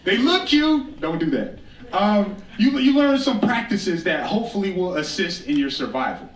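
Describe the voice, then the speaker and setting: A roomy, echoing recording of an American man giving a live talk, picked up from a distance. His voice sits quite high, and he speaks like an informal storyteller, with wry humour and repeated phrases for emphasis. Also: very animated, normal speed. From United States, Northern Plains. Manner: conversational, rhetorical repetition, wry humour. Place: indoor stage talk in a roomy venue. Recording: roomy echo, distant microphone.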